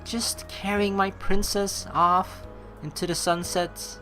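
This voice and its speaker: A young woman doing let's play commentary, reading game text aloud in an animated, playful, blunt and casual way. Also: medium pitch, slow, music in the background.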